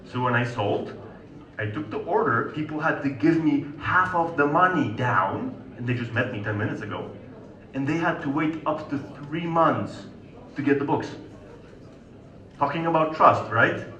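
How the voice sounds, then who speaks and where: A man's voice: fairly high pitch, lively intonation, normal speed, sharp tone, muffled recording. A man in his thirties with a Polish accent gives a conversational sales talk to an audience and keeps checking in with them. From Poland. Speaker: sales consultant giving a talk. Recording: echoey hall, distant mic.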